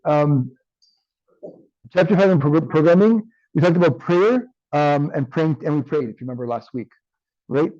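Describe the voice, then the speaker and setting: A man teaching an online class with a tired, strained voice, often checking in with "right?" and filling pauses with um. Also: a little high, animated, mellow, dry recording, highs cut off. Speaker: instructor.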